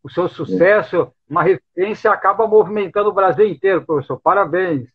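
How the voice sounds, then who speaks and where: Paulistano guy on a video call, high voice, lots of "sim", dull lo-fi sound. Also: a little fast. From São Paulo city, Brazil. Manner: agreeable, frequent short affirmations, praises the host. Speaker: guest expert. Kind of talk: casual live stream chat. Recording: muffled husky online call audio.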